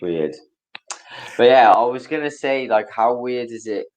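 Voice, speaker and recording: A man's voice: medium pitch, a little slow. A man from Wales, a podcast guest in casual, rambling chat. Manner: chatty, rambling, animated impressions.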